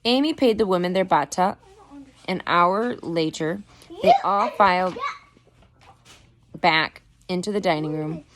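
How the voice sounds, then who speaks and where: A woman reading a book aloud in a deliberate storytelling manner, with an occasional stumble over the words. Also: on the low side, a bit bright, a little hiss or hum. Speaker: reader. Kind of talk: book read-aloud narration.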